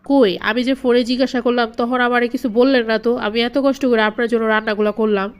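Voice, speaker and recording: A woman's voice: a little high, level tone, quick pace, slight background noise, close to an everyday voice. A woman playing a meek, devoted young housewife in a cartoon drama, submissive and apologetic in manner. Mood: hurt.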